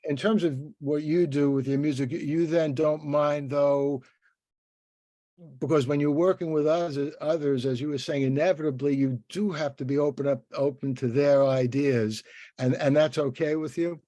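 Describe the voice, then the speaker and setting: Older male interviewer, relaxed and mellow, anecdotal and rambling, dry recording. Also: a little high, normal speed, no room sound. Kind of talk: conversational interview.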